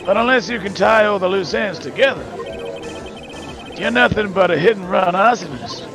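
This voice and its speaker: A man voicing a cocky, wry older mentor lawyer with a gravelly voice in a live courtroom game dub. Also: sits high, moderate pace, a crowd in the background.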